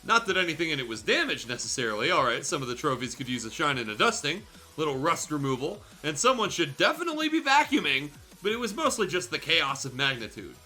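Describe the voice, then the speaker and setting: Animated, bright-voiced man reading aloud. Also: a little high, fast. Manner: irreverent, sweary. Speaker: show host reading aloud. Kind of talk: comedic fan fiction reading with casual asides.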